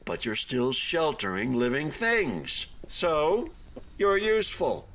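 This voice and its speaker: A man's voice: a little high, huge pitch swings, a bit bright, slight background noise, full character voice. A man in a radio play voicing an unfinished hotel building, proudly deluded and eagerly optimistic, with a theatrical delivery that halts and then gushes. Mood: upbeat, hopeful. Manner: theatrical, halting then gushing, swooping emphasis.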